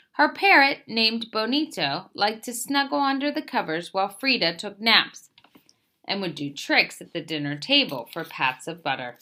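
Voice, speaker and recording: A woman's voice: mid-range voice, pushed and bright. A woman reads a children's picture book aloud as a storyteller, expressively, lifting the openings of phrases and settling at sentence ends.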